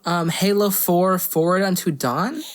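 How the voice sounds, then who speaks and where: Low voiced lady podcast co-host, blunt n sweary, just chatting. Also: measured pace. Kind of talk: casual movie chat.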